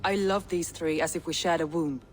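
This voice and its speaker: A woman voicing a proud, wary Viking clan leader in a video game, with deliberate, solemn delivery. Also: deep voice, fairly even intonation, lightly acted. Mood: resolute. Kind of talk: cinematic video game acting.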